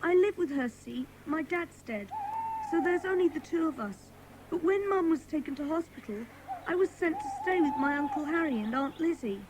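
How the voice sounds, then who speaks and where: A high, childlike voice from a woman acting as a frightened young runaway boy. He is plaintive and guarded, with a streak of defiance, in a children's audio drama. There is faint noise behind him. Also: animated, normal speed. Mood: plaintive, confiding.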